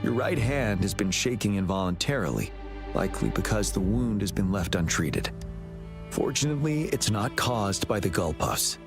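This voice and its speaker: Calm commander, low voice, measured, composed, game music behind. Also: male voice. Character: calm, commanding male general.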